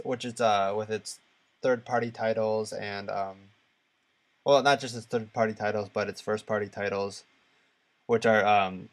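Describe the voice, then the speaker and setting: Halting man in casual podcast talk. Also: lowish voice. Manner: halting, searching for words. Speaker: podcast co-host. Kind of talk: casual discussion of video games.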